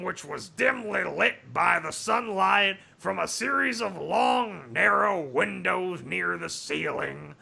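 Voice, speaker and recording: A man putting on a raspy, strained, grating comic narrator voice to read a story in a mock-dramatic way. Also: high voice, pushed and bright, full character voice. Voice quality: raspy, gravelly. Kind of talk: mock-dramatic reading of fan fiction.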